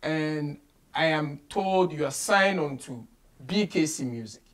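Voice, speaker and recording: Male interview host, Ghanaian accent, high-pitched, emphatic and animated, code-switching between English and Twi. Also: measured pace. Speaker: radio show host. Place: small radio studio office, indoors.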